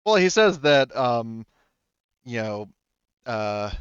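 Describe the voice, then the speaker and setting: A man's voice: mid-range voice, sing-song, takes it slowly, clean tone, dry recording, lo-fi sound. A man running a tabletop roleplaying game, loosely narrating and relaying what characters say in an improvised way. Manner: loose, relays characters' speech in third person. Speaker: game master.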